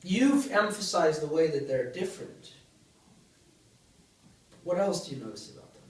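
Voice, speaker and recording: A man's voice: a little high, big pitch swings, moderate pace. A man giving a university linguistics lecture, explaining step by step and putting questions to the class. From Greece. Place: large lecture room. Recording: echoey lecture hall from far off.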